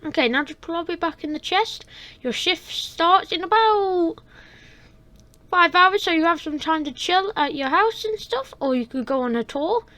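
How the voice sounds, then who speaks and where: A woman's voice: very high voice, animated, moderate pace, pushed and bright, no room sound, pitched above the performer's own voice, exaggerated voice. A woman playing a chirpy, upbeat workplace boss. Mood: friendly. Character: friendly male workplace boss hiring a guard.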